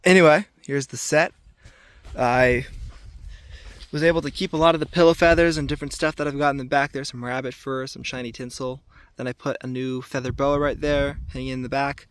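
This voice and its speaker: A man with a raspy voice today, talking casually and thoughtfully to a camera while checking traps outdoors, with filler ums and restarts. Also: mid-range voice, steady tone, fairly quick, no room sound. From southern Arizona. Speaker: trapper filming his trapline. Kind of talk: casual outdoor vlog narration. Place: outdoors in desert washes.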